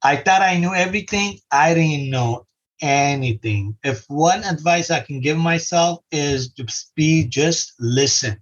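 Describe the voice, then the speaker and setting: A man's voice: on the high side, a little slow. A middle-aged man from Lebanon, earnestly giving life advice as a podcast guest. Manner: earnest, repeats simple advice. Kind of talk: casual advice in an interview. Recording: muffled lo-fi recording.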